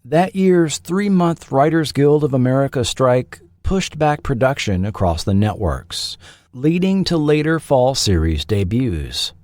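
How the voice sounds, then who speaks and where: A man hosting a podcast, reading scripted documentary narration with measured, dramatic phrasing. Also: mid-range voice, sing-song, darkish tone, dry recording, clean studio sound. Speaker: podcast host. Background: faint background music.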